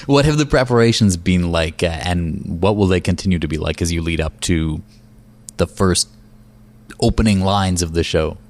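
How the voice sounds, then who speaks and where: A man's voice: low voice, normal speed. A man hosting and interviewing for a radio programme. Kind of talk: interview with scripted introduction.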